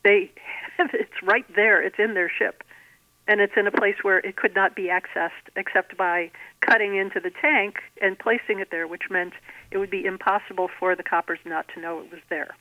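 A woman's voice: mid-range voice, fairly even intonation, moderate pace, dry recording. Woman guest on a thin phone line. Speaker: guest author. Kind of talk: conversational interview about own writing. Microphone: telephone. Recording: thin, band-limited telephone line.

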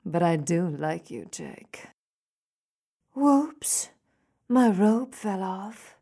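Slow-talking seductive femme fatale. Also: female voice, mid-range voice, lively intonation, warm tone, husky, full character voice. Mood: sultry, taunting. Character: seductive, cold-blooded femme fatale killer in gangster tale. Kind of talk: noir audio drama acting.

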